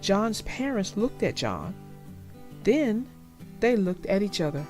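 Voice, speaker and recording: A woman reading a children's story aloud in a deliberate, expressive storytelling manner, with music behind her. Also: mid-range voice. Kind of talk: read-aloud storybook narration.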